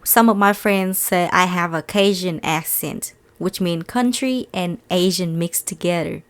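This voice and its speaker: A woman's voice: on the low side, a little slow. A woman from Vietnam with a Vietnamese accent and a light Southern US twang, earnestly hosting a solo podcast in slightly non-native English. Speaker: podcast host.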